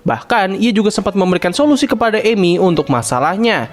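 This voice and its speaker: A man's voice: sits high, expressive, quick pace. A young man narrating a scripted cartoon review in casual slang, opinionated and chatty. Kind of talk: scripted video-essay narration. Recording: voice-over with faint background music.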